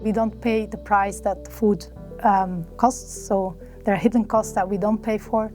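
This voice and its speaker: A woman with a mellow, dark-toned voice who speaks earnestly and a little hesitantly as a conference participant. Faint music plays underneath her. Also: mid-range voice, fairly even intonation, measured pace. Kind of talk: interview remarks.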